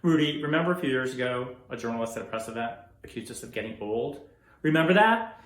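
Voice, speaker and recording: A man's voice: medium pitch, expressive, fast talker, a bit bright, lightly acted. A man playing a proud, driven car engineer, earnest and emphatic in a theatrical monologue. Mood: proud, urging. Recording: echoey room.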